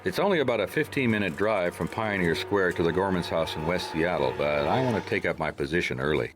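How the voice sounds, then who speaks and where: An actor playing a weary, wry private detective in a hardboiled radio drama, laconic and understated, both narrating and in dialogue. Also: male voice, sits low, lively intonation, fairly quick. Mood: wry, watchful.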